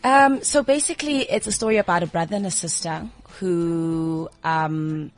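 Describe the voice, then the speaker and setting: A woman's voice: lowish voice, moderate pace. A woman from South Africa, an actress guest on a radio show, speaking hesitantly with many ums. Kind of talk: radio interview. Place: radio studio.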